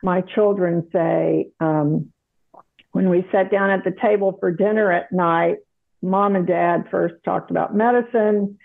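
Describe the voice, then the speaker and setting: A woman, a retired doctor and interview guest who tells her story in a measured way with light laughs. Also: on the low side, fairly even intonation, creaky. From South Carolina, USA. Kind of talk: casual interview chat. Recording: tinny phone-like line.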